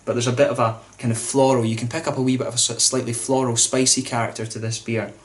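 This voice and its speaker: A man talks quickly and casually to camera while reviewing a beer at home. His voice sits at a middle pitch, and he fills the talk with fillers and restarts as he goes. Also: flat delivery. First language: English. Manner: chatty, informal, many fillers and restarts.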